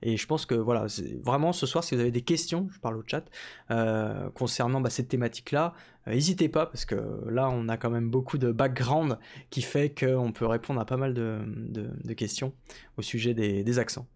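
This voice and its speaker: A man hosts a livestream and introduces his guest at length. He often hesitates and restarts his sentences, and his voice sits at a middling pitch. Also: normal speed, darkish tone. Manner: hesitant, many fillers and restarts. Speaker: livestream host.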